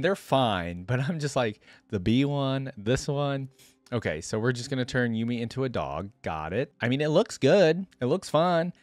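A young man hosts a casual reaction video in a chatty, opinionated way. His pitch moves up and down freely in an animated delivery. The sound is dry and close, as from a desk microphone. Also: no echo. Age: young adult. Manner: chatty, opinionated, thinks aloud. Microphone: close desktop microphone, headset on.

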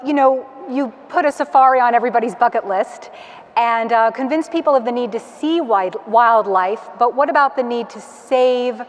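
A woman's voice: on the high side, moderate pace. Middle-aged woman moderating onstage. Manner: friendly, asks long multi-part questions. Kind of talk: onstage conference interview. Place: large hangar-like conference hall with audience. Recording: long reverb of a large hall.

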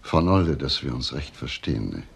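An actor playing a probing, insistent police detective with a gravelly voice in a crime drama. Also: male voice, very deep voice, sing-song, moderate pace, heavily acted. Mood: grave, measured. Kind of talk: German TV crime drama dialogue.

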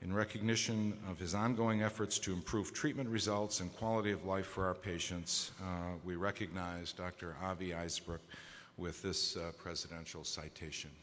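A man presiding as society president, giving a formal award ceremony speech in a measured way with frequent hesitation fillers. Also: low voice, fairly even intonation, a bit bright. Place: large conference hall. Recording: echoey conference hall.

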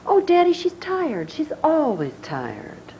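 A woman's voice: high-pitched, huge pitch swings, takes it slowly, warm tone, dull audio, a little hiss or hum, voice pushed up, full character voice. A woman voicing an eager, clingy little girl in a solo stage reading. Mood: plaintive. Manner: eager, pleading.

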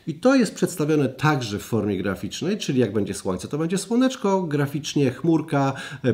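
A man in his fifties sits at a table in his living room and talks viewers through a gadget review. His voice sits at an everyday middle pitch, and he keeps up a chatty, direct manner dotted with filler sounds. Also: a little mellow. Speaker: tech reviewer. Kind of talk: product review talking to camera. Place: living room at home, indoors.

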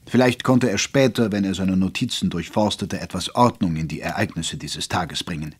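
A man's voice: low-pitched, moderate pace, slight background noise. A man narrating a German audio drama as a scripted storyteller.

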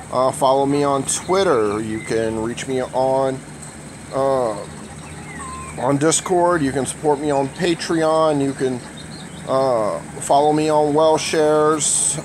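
Guy slowly mumbling thru a farm game, lots of ums, noisy bg. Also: medium pitch. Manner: slow, halting, with many fillers. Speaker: gaming channel host. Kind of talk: casual mobile game playthrough commentary.

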